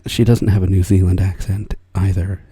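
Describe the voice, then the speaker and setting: An older man chatting softly in a whisper as an ASMR artist, rambling and soft-spoken. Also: low voice, level tone, moderate pace, slightly rough, no echo, studio quality.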